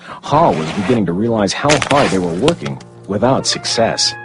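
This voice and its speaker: A man giving scripted storybook narration in an expressive way, with music behind him. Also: lowish voice, normal speed, creaky.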